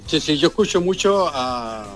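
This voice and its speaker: A middle-aged man from Seville with an Andalusian accent, chatting casually as a radio guest, relaxed and repetitive. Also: a little high, normal speed, soft background music. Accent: Andalusian Spanish. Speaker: caller living abroad. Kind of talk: casual phone-in chat.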